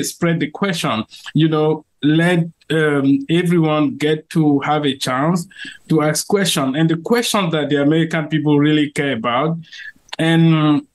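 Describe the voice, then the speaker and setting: Man, cameroonian accent, fairly high voice, earnest, lots of fillers, interview answers. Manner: earnest, with frequent fillers and restarts.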